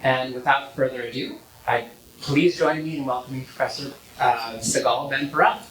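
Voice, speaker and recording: A man's voice: mid-range voice, steady tone, moderate pace, background noise. A man hosting a university event, reading out the format and introducing the speaker, with some fillers. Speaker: event host. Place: university lecture hall. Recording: echoey hall, distant mic.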